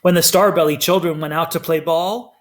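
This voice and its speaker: High-voiced man reading a rhyming storybook. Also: fairly even intonation, a little fast. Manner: theatrical, emphatic storytelling. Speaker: storybook reader. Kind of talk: expressive children's read-aloud of rhyming verse.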